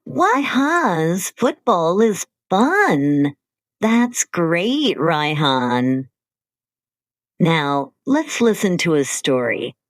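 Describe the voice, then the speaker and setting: A woman co-hosting an English-learning podcast, speaking slowly and carefully in simple scripted sentences. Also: sits low, no room sound, studio quality. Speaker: podcast co-host.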